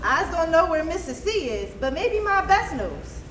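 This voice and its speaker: A woman's voice: very high-pitched, big pitch swings, normal speed, a bit bright, heavily acted. A woman on stage playing a meek, obedient enslaved house servant with a submissive, deferential manner. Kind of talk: stage play acting. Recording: echoey stage recording with faint noise.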